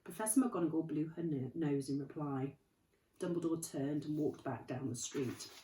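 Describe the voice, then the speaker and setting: A woman, a primary school teacher, reading a storybook aloud in an expressive manner. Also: low voice, normal speed, recorded from a distance, a little hiss or hum. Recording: roomy, from off the mic.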